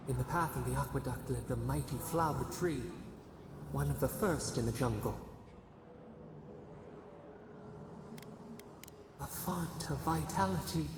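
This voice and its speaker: An actor voicing an ancient collective nature spirit in a fantasy game, solemn and mournful, with a gravelly, portentous, incantatory delivery that echoes under music. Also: male voice, medium pitch, normal speed, background noise. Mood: grave, reproachful. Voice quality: gravelly, creaking. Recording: cavernous echo, distant, under loud music.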